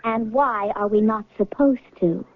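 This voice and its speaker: A woman's voice: medium pitch, measured pace, a little hiss or hum, natural voice. An actress playing a carefree, coaxing woman in 1970s radio drama, with a playful, nonchalant manner. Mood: persuasive. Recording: thin phone-like old radio recording.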